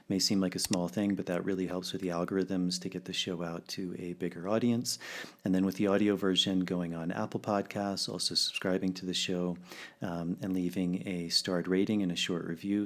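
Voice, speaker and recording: A low-pitched man talks as the host of a relaxed conversational podcast. He rambles a little, filling the gaps with ums and uhs as he goes. His delivery is unhurried and friendly. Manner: easygoing, many ums and uhs, loose rambling phrasing.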